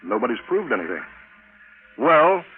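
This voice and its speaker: A man acts as a businesslike chief in a 1950s radio play. His mid-pitched voice carries conversational authority. The vintage recording is narrow and tinny, with faint noise. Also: big pitch swings, normal speed, natural voice. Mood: businesslike, grave. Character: businesslike male chief of a military computer department. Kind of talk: 1950s radio drama acting.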